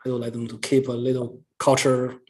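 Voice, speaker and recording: Halting middle-aged man. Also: mid-range voice, monotone, a little mellow. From China. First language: Chinese. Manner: halting, many pauses and restarts. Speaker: guest speaker. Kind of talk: answering audience questions.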